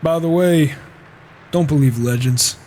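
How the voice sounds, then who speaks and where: A man's voice: a little high, a little slow, mellow, slight background noise, close to an everyday voice. A man roleplaying a reclusive, observant warrior in a fantasy game, musing to himself in muttered asides over a flawed home mic. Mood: guarded, polite. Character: reclusive male warrior, wry, observant wanderer. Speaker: player roleplaying a character in a game.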